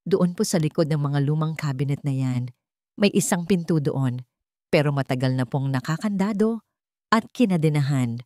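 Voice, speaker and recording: A woman's voice: deep voice, expressive, normal speed, no echo, studio quality. A woman with a Filipino accent voicing a respectful, fearful old male janitor in an audio story. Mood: wary. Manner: hushed, anxious, respectful.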